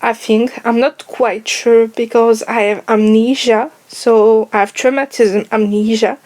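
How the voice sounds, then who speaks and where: A woman's voice: fairly high pitch, little pitch movement, unhurried, darkish tone. A young French woman speaking English as a second language, with a French accent, talking carefully and searching for words in a casual personal vlog. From France. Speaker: vlogger. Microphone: headphones on, speaking to camera.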